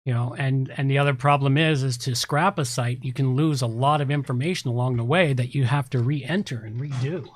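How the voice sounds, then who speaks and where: A man's voice: medium pitch, fairly even intonation, fairly quick, hardly any pauses, no room sound. A man hosting a tech podcast, talking casually and explaining things with emphatic repetition. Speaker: podcast host, website repair specialist.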